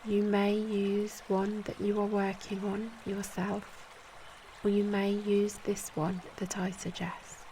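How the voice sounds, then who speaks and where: A woman guiding a yoga nidra meditation in a calm, soothing, measured manner, leaving long pauses between short instructions. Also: mid-range voice, a little hiss or hum. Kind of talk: guided meditation.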